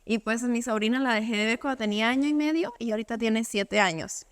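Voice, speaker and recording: A woman's voice: fairly high pitch, fast. A young woman from Cuba, talking casually as an interview guest and padding her speech with fillers. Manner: conversational, many fillers like "o sea" and "pues".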